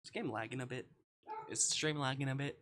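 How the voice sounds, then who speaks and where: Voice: medium pitch, fast talker, fairly bright tone, a little hiss or hum. A game streamer chatting casually to viewers, hesitant and playful, often muttering to themselves. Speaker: livestreamer. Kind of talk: casual live game stream chat, reading on-screen dialogue aloud.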